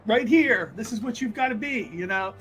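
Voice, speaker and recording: Man playing a high-pitched, cocky party ringleader. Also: lively intonation, moderate pace, fairly bright tone, soft background music, low-quality recording. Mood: hyped-up cheering. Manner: pushy, hyping, casual swearing.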